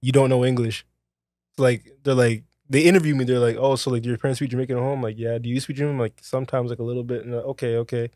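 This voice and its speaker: Young male co-host, quick relaxed delivery, dry close sound, mid pitch. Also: dry recording. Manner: quick, laid-back, laughs often. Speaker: podcast co-host. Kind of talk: casual chat.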